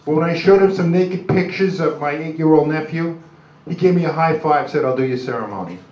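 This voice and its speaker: A dull, slightly noisy live recording, captured at a distance, of an older male stand-up comedian. He has a New York accent and rambles through crude material in a halting, self-deprecating way. The room rings noticeably around his voice. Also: on the high side, a little mellow. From Brooklyn, New York, USA. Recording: dull, noisy, echoey club recording from afar.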